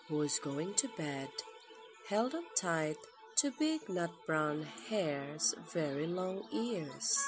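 Woman doing a kids book read aloud, slow n really lilting, lowish voice, music behind her. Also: rough recording. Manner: expressive, deliberate phrasing for children. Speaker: storyteller. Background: music under the reading.

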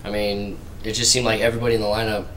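Low, flat-toned young man at press conference. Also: rapid-fire, a little hiss or hum. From Arizona, USA. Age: early 20s. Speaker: college baseball player. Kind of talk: postgame press conference answers. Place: indoor press conference table. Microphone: table microphone.

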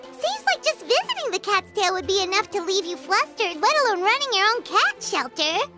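A woman's voice: very high voice, sing-song, moderate pace, over loud music. A woman voicing a tiny floating fairy companion who is chatty and blunt and speaks of herself in the third person. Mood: teasing. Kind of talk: video game voice acting.

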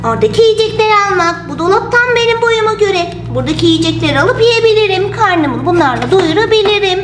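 A woman voicing a hungry, excitable little turtle in a children's toy story, with childlike exclamations and a greedy manner. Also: very high-pitched, fast talker. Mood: whiny, hungry. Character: hungry, excitable little turtle who loves junk food. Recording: roomy voice over loud music.

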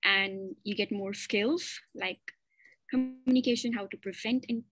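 A young adult woman, an honours student, giving earnest, soft-spoken advice to future students. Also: mid-range voice, steady tone, unhurried, music in the background, poor audio.

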